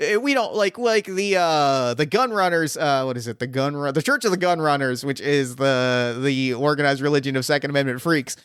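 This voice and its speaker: A man's voice: on the high side, brisk, few pauses, a bit bright, clear, resonant tone, no echo, studio quality. A man acting as game master, thinking aloud with many fillers and restarts as he sets up a scene. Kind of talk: improvising a tabletop game scene.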